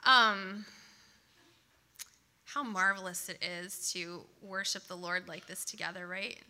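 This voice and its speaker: A woman's voice: lowish voice, steady tone, slow pace. A woman speaking to a congregation before a worship song, chatty and informal, with many asides, English not her first language. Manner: chatty, warm asides, many "um" and "right?" tags. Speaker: worship leader. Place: congregation service.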